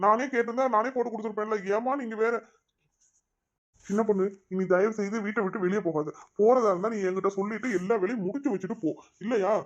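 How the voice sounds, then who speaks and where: A woman's voice: mid-range voice, highs cut off, close to an everyday voice. Brief: exasperated cartoon husband, mid voice, voiced by a woman. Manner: exasperated, lecturing. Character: weary young husband caught in the middle. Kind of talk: cartoon voice acting.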